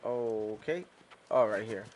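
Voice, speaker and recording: A man's voice: lowish voice, slow pace, a little hiss or hum. A man streaming a game, chatting casually to viewers in a laid-back, rambling way with casual swearing. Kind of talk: casual gameplay commentary.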